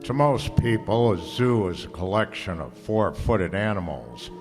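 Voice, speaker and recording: An actor playing a wry, hard-boiled overweight detective, narrating in a deliberate, sardonic way in the style of 1940s radio drama. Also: male voice, lowish voice, animated. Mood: wry, ominous. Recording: echoey hall, music behind.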